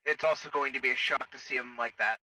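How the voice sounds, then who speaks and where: A stern, clinical space-opera tech officer , acted by a man in a game roleplay. The voice is very bright and hard-edged, matter-of-fact, on a dry recording a little off the mic. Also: mid-range voice, steady tone, quick pace, dry recording, far from the mic, poor audio. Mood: firm, explanatory. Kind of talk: improvised online game roleplay.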